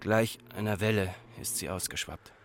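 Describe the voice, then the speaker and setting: An actor playing a farmer's anxious grown son in a radio drama, with an Austrian accent and a deliberate, repetitive, literary delivery. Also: male voice, on the low side, fairly even intonation, a little hiss or hum, natural voice. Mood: brooding, ashamed.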